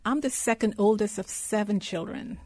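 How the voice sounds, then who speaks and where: Middle-aged female interview guest from Guyana, soft warm tone, dry close sound, deliberate storyteller. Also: medium pitch, no room sound. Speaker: interview guest, consulting executive.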